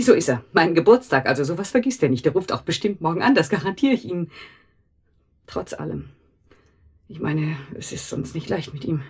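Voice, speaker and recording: A woman's voice: sits low, a little mellow, breathy edge, heavily acted. An actress playing an anxious, chatty housekeeper in a radio drama, rambling emotionally and protective of her brother. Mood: anxious, defensive. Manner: rambling, wordy, emotional, self-correcting.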